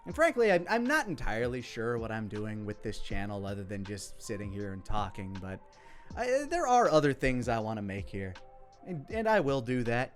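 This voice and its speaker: A man's voice: mid-range voice, wildly swooping pitch, normal speed, faint music behind. A man giving casual commentary on his own work, rambling, candid and sweary. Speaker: creator commenting on own parody series.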